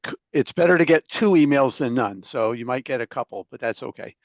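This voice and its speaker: A man's voice: mid-range voice, lively intonation, fairly quick, no echo. A man on staff at an association, making an informal, easygoing announcement during an online meeting. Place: video call. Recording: dull, lo-fi video-call audio.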